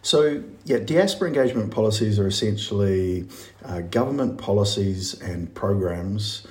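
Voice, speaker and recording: Male academic, New Zealand accent, very low voice, echoey room, friendly explainer. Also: expressive, normal speed, a little mellow. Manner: friendly, lots of "uh" fillers. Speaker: professor, guest expert. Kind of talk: explanatory interview answer.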